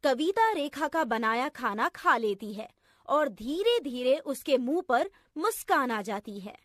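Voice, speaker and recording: High-pitched, lively woman storyteller. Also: unhurried, no room sound, clean studio sound. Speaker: narrator. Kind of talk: scripted children's story narration.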